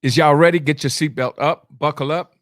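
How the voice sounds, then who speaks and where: A man's voice: fairly high pitch, fairly even intonation, a little fast, no echo, studio quality. A man hosting a live boxing commentary stream, talking bluntly in informal slang and repeating phrases for emphasis. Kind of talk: live sports commentary, answering chat comments.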